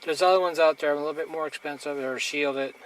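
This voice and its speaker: A man's voice: fairly high pitch, fairly even intonation, fast. A man giving a casual hands-on gear demonstration in a blunt, plain-spoken, mildly crude manner. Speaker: hobbyist reviewer demonstrating radio gear. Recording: noisy amateur recording with clicks.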